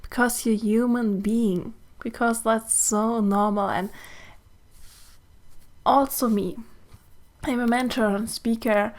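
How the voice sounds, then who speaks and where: A German woman, a native German speaker, hosting a podcast in English in a soft, gentle, often breathy and hushed manner. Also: medium pitch. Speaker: podcast host and mentor. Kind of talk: slow reflective solo podcast talk.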